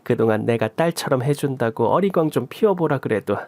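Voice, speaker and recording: Man reading as kind older mother-in-law, gently teasing, dry booth sound. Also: mid-range voice, lively intonation, a little fast, no echo, close to an everyday voice. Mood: soothing. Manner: warm, soothing, teasing.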